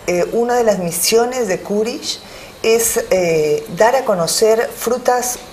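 A woman's voice: on the high side, slow, a little hiss or hum, low-quality recording. A middle-aged woman with a Peruvian accent, answering interview questions in a TV studio, hesitating often with fillers. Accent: Peruvian Spanish. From Peru. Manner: hesitant, many fillers, animated hands. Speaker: business owner guest. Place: TV studio with city backdrop.

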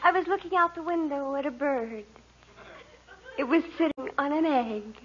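A woman's voice: high-pitched, normal speed, lightly acted. A woman playing a dreamy, haughty teenage daughter with an affected, gushing manner in a 1940s radio sitcom. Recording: muffled, very noisy vintage radio with audience.

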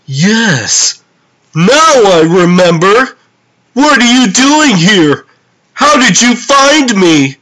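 A man's voice: sits high, sing-song, extremely slow, sharp tone, full character voice, poor audio. A man voicing a gleefully zany clownish super-villain in a comic dub, theatrical, exclamatory and sing-song. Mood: startled, curious.

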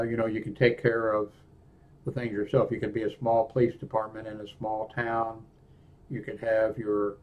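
Male presenter, 60s or older, American. Slightly low pitch, unscripted demo delivery, halting and meandering. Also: narrow, tinny audio. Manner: halting, rambling, often trailing off. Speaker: presenter of a radio equipment review. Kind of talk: unscripted product walkthrough. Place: small indoor room at a desk.

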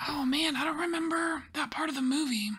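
A woman softly whispering and musing as she lays out and reads tarot cards in an ASMR style. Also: sits high, fairly even intonation. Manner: soft whispering, musing and unhurried. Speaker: tarot reader.

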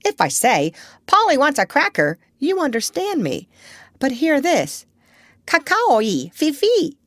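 A clever, proud female parrot from a children's storybook, acted out by a woman reader. The voice sits high and swoops widely as she insists and instructs like a bossy little advisor. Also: wildly swooping pitch, takes it slowly, fairly bright tone, no echo, studio quality, exaggerated voice. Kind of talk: children's storybook character reading.

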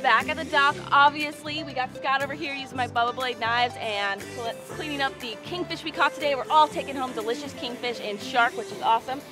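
A woman's voice: high voice, fairly quick, hardly any pauses, music in the background. A young woman hosting a fishing vlog, coaching and cheering in an upbeat way, recorded outdoors on an open boat and at a dock. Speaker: fishing channel host. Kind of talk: excited fishing vlog chat. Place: open boat at sea, then a marina dock.

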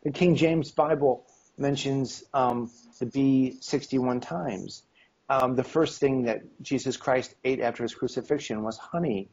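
A middle-aged man with a husky voice and a slight cold speaks as an interview guest over a video call. His talk rambles, full of ums, and he acts out quoted dialogue. The sound is dull and lo-fi. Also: mid-range voice, unhurried, a little mellow. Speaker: interview guest, esoteric history author. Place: home room on video call.

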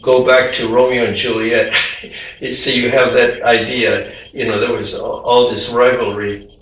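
An older man, an artist, talking informally about his painting in a gentle, halting way with many fillers and restarts. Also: medium pitch, level tone. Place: home room with windows, indoors. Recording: very echoey room, voice from a distance.

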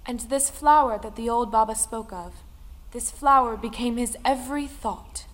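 A woman's voice: on the high side, normal speed, close to an everyday voice. An actress playing a female storyteller, giving dramatic narration of an old folktale. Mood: storytelling. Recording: music behind the voice. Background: music.

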